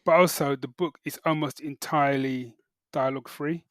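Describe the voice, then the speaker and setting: A middle-aged British man chatting casually as a podcast co-host, halting and correcting himself as he goes. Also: mid-range voice, steady tone, fairly bright tone, dry recording. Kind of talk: casual chat, geek reviews.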